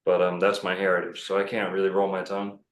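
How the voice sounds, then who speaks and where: A man's voice: sits low, monotone, quick pace, echoey room, a little hiss or hum. A man talking casually as a podcast guest, rambling and self-assured with many fillers and restarts. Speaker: podcast guest, bookstore owner.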